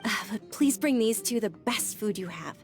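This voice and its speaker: A courteous young noblewoman, frail since childhood, speaks softly and earnestly. Her mellow voice carries a light vocal fry. Faint game music plays behind her. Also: fairly high pitch, animated, normal speed. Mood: polite. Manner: soft-spoken, courteous, earnest storytelling. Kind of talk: video game cutscene voice acting.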